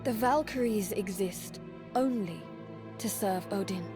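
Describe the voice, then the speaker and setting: A woman's voice: mid-range voice, unhurried, natural voice. Woman acting a solemn Valkyrie warrior over music. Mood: stern, cold. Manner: formal, stern, earnest diction. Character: solemn, dutiful young female Valkyrie warrior serving a god. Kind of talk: video game voice acting. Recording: music under the voice.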